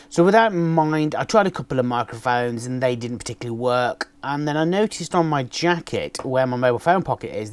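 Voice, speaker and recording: A man, casually chatting through an unboxing, with a naturally loud voice and a rambling way of talking full of ums. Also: mid-range voice. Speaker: motovlogger reviewing gear. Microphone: external microphone on a camera.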